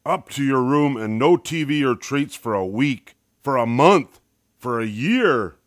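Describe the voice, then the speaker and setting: A man's voice: mid-range voice, animated, dry recording, studio quality, pitched above the performer's own voice, natural voice. Man playing a stern, blustering papa bear. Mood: angry roaring. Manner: emphatic, drawn-out scolding. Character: stern adult father bear, bossy and blustering.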